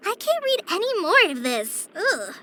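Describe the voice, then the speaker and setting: A woman's voice: very high voice, huge pitch swings, unhurried, slight background noise, heavily acted. A woman voicing a shy, earnest teenage forest ranger girl in a video game, hesitant, apologetic and kind. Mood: embarrassed, exasperated. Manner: hesitant, apologetic, soft-hearted. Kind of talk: video game character voice lines.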